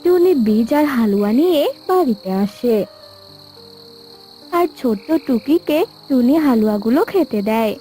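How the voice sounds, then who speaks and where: A woman's voice: on the high side, expressive, measured pace, mellow, slight background noise. A woman narrator reading a scripted children's cartoon story.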